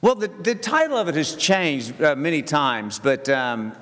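A middle-aged or older man, giving a folksy, halting, self-deprecating talk to a group. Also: on the high side, animated, fairly bright tone. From southern United States. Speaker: speaker addressing a church group. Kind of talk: personal talk with stories and Bible reading. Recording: long echoing hall reverb.

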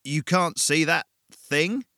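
A man's voice: a little high, takes it slowly, bright, forceful tone, no room sound, pitched above the performer's own voice. A man voicing a skeptical young man with a dry, sardonic way of talking. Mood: alarmed. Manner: dry and sardonic, turning urgent.

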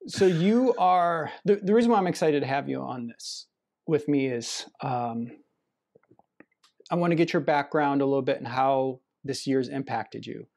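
A man's voice: mid-range voice, moderate pace, gravelly, a little mellow. A man hosting a podcast, introducing and interviewing in a conversational way. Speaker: podcast host.

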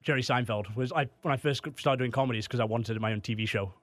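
A young English man with a slightly Canadian-tinged accent, chatting as a comedian guest in a quick, self-deprecating way. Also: medium pitch, no echo. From England. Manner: quick, self-deprecating, stumbles over starts. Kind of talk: casual podcast chat.

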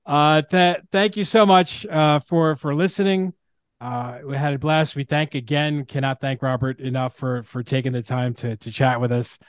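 A man hosting a casual podcast, speaking haltingly and often repeating his words. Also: medium pitch, fairly even intonation, clear, resonant tone, no room sound, studio quality. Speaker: podcast host.

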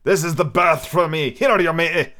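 A man doing a gruff old pirate captain. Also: a little high, a little fast, pushed and bright, pitched above the performer's own voice. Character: gruff old male sea captain, coarse and fierce.

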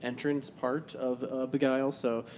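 Man, podcast host, casual rambling chat, easy laugh, faint rumble. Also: little pitch movement, a little slow, darkish tone. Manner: loose, rambling, laughs easily.

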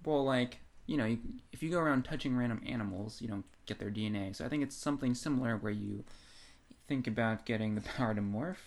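A podcast co-host with a medium-pitched voice. The talk is conversational and informal, laying out explanations and readings of books as in a chat with a friend. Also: fast talker, a little mellow. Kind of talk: casual chat discussing books.

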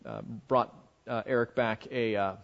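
A man's voice: medium pitch, steady tone, gravelly. Male American Bible teacher, mid-range voice, conversational and discursive, lecturing informally on a muffled recording. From United States. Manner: conversational, halting, with asides. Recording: dull, muffled lo-fi audio.

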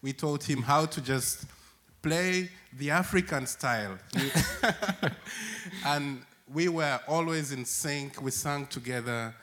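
A man's voice: on the high side, slow pace, fairly bright tone. A man from Uganda, a church worker telling stories as a guest and laughing often. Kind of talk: warm storytelling conversation.

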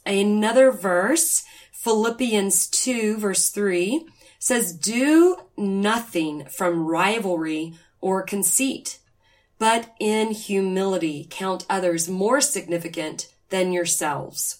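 Woman podcast host, mid-range voice, a little raspy and nasal from a cold, earnest delivery. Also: mid-range voice, slow pace, darkish tone. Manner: earnest, reads scripture aloud. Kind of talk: faith podcast talk.

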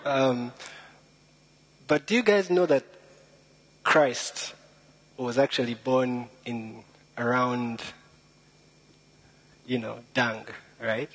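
Husky young man telling stories. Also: mid-range voice, extremely slow, pauses often, poor audio. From Rwanda. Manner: chatty, joking, self-deprecating, fond of puns. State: a bit nervous. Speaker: guest speaker. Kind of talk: informal storytelling talk.

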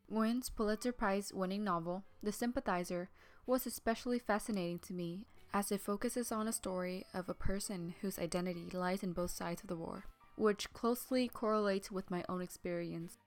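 A young woman from Vietnam, a native Vietnamese speaker, reads a prepared statement at an even, formal pace. Her delivery is earnest and steady, at a middle pitch. Soft music plays faintly underneath. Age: early 20s. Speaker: student. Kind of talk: reading a prepared tribute.

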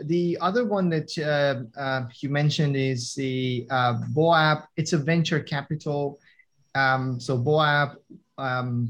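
A young man who is not a native English speaker answers interview questions. His voice sits at a middle pitch, and he talks hesitantly, filling gaps with pauses and fillers. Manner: hesitant, many fillers and restarts. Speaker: guest, tech entrepreneur. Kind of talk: conversational interview.

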